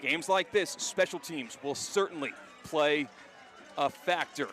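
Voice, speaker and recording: An American man calling live football play-by-play for radio, excitable and given to shouting on big plays. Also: fairly high pitch, measured pace, soft background music. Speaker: play-by-play announcer. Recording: clear outdoor stadium background noise.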